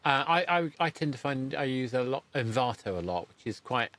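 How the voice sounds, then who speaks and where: A man's voice: medium pitch, animated, fairly bright tone. A middle-aged man hosting a podcast interview in a conversational, rambling way, often breaking off and correcting himself. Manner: halting, rambling, self-correcting. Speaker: podcast host interviewing a guest.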